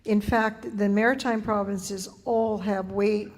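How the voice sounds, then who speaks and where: A woman on a discussion panel, answering audience questions in a measured, explanatory way. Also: medium pitch, fairly even intonation, darkish tone. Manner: measured, corrects and elaborates points. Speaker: panel speaker. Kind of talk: explanatory reply at a public meeting. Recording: long hall reverb.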